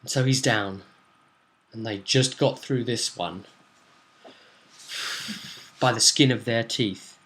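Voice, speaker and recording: A young man casually recapping a tabletop game as its game master, with a theatrical, animated storytelling manner. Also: mid-range voice, slow pace, pauses often. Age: young adult. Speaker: game master and vlog host. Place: small room at home, indoors.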